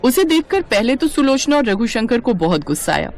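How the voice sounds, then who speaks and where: A woman's voice: fairly high pitch, very animated, brisk, darkish tone. A woman narrator reading a scripted family story in storybook style.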